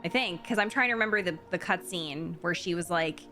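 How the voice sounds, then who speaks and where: A woman's voice: on the low side, brisk, bright, forceful tone. A woman streamer giving casual live commentary as she reacts to a video game. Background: game music.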